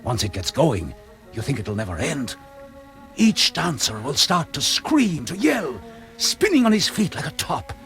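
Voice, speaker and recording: A man's voice: fairly high pitch, very animated, a little slow, fairly bright tone, full character voice. An actor in a radio drama playing a tense, knowing male schoolteacher, explaining with dramatic urgency. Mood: intense, explaining. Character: tense, knowing schoolteacher guiding a companion through danger. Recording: music under the voice.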